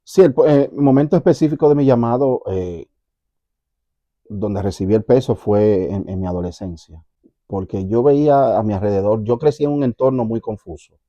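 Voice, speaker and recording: A man with a Dominican accent, a pastor sharing his personal testimony in an earnest, reflective way, often pausing and repeating words. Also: fairly low pitch, mellow, no echo, dull audio. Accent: Dominican Spanish. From Dominican Republic.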